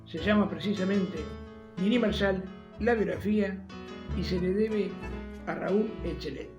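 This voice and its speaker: A man's voice: high-pitched, measured pace, dull audio. Male radio host, Argentine accent, informal and affectionate delivery, music faintly behind. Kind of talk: conversational radio interview with closing book recommendation.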